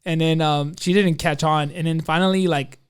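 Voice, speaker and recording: A man co-hosting a podcast, telling a personal story in an excitable, rambling, slangy way full of quoted asides. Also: on the high side, moderate pace, smooth, no echo, clean studio sound. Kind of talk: casual chat, anecdote storytelling.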